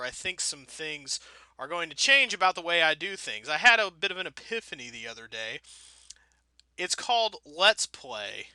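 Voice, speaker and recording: A man's voice: a little high, bright, forceful tone, clean tone, no room sound. A man giving casual, rambling video game commentary as a let's play host, wordy, wry and self-deprecating.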